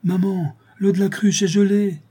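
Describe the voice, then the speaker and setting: A man's voice: high voice, level tone, moderate pace, mellow, husky, voice pushed up. A man voicing a poor, hungry child in a storybook reading, in a plaintive manner. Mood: distressed.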